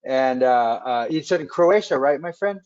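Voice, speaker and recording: A man hosting a webcast interview in casual chat, halting with many fillers and restarts. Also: medium pitch, lively intonation, darkish tone. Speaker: webcast host. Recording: dull lo-fi webcast audio.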